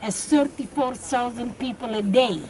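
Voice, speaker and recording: A woman's voice: fairly high pitch, normal speed, a little mellow, slight background noise. An elderly woman in her eighties from Hungary, outdoors, talking through events and filling in others' words. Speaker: survivor who also questions. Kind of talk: conversational testimony. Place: outdoors at a memorial site.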